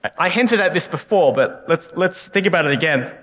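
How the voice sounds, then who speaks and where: A man's voice: fairly high pitch, lively intonation, brisk, a bit bright. A young man preaching a sermon as a guest speaker, earnest and often repeating words as he goes. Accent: non-Canadian English, unplaced. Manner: earnest, frequent word repeats. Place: church hall. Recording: long hall reverb.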